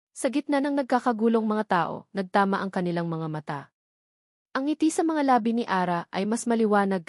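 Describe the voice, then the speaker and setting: Woman, Filipino accent, medium pitch, dramatic love-story narration, measured phrasing, sentimental delivery, dry close sound. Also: dry recording.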